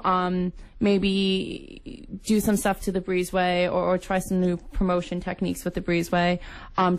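Creaky low-pitched young Canadian woman. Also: low-quality recording. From Nova Scotia, Canada. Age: young adult. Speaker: guest, incoming student union executive. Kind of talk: casual radio interview. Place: radio studio.

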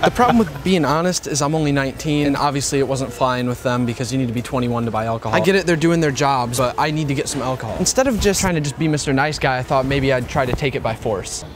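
A young man narrating a prank vlog in a joking, deadpan way, filmed in stores. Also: medium pitch, fast, few pauses. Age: young adult. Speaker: prank vlogger. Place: big-box store and gas stations. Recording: noisy store recording.

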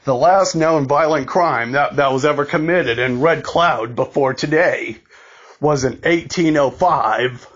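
Slow, halting male emergency announcer. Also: a little high, a bit bright, husky, highs cut off. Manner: formal, deliberate, halting with repeated words. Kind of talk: scripted emergency broadcast announcement, amateur reading.

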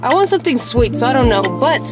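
A woman's voice: fairly high pitch, big pitch swings, fast talker, music in the background. Bubbly young woman vlogger. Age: young adult. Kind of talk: casual chat. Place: inside a car.

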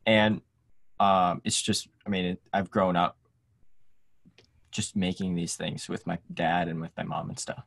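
A man's voice: low-pitched, fairly even intonation, unhurried, gravelly, long pauses, no echo. A young man chatting informally as an interview guest, keeping his answers brief. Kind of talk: casual interview chat. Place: indoor room, on a video call.

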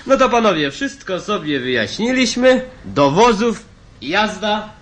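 Jovial male boss, probing and teasing, slow delivery, lively swooping intonation, radio play. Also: high voice, exaggerated voice. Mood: breezy, probing. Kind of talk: radio drama dialogue.

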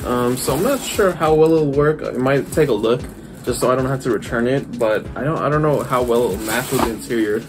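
A man's voice: medium pitch, a little fast. Rambling young man vlogging casually. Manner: rambling, heavy use of "like" and "literally". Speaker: car vlogger. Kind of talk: casual vlog talk to camera.

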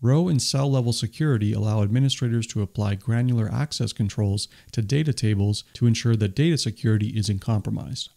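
A man's voice: lowish voice, fairly quick, creaky, hardly any pauses, dark tone. Man narrating a tech news recap. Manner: enthusiastic, explanatory. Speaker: tech video host. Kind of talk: scripted voice-over summary. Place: voice-over, not recorded on the stage pictured.

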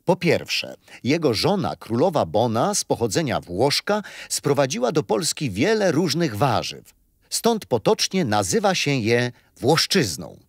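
An actor playing a kindly, patient grandfather telling stories in a children's radio play, unhurried and expressive. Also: mid-range voice, breathy edge, dry recording, studio quality, exaggerated voice. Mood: gently teasing. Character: kindly grandfather storyteller, patient, teasing, wise.

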